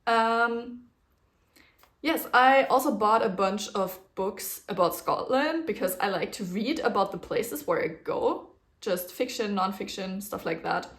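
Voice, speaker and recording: A woman's voice: medium pitch, expressive, unhurried. A young woman with a German accent, who grew up speaking a German dialect, chatting casually and rambling a little on her solo podcast. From German-speaking region. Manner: chatty, rambling, with fillers and small laughs. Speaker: podcast host.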